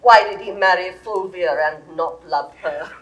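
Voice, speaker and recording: A woman's voice: lowish voice, a little slow, fairly bright tone. An actress playing a proud, capricious queen in a 1960s audio drama, speaking imperious verse.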